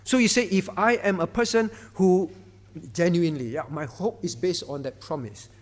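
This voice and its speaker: A man's voice: high voice, normal speed. A male pastor, preaching a sermon in a conversational way and often turning to the congregation. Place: church hall. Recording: reverberant church hall.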